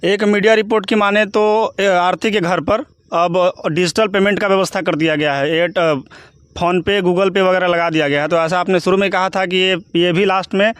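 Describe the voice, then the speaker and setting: Male news interviewer, high-pitched, crisp clear tone, posing questions. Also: steady tone, moderate pace, fairly bright tone, no echo, studio quality.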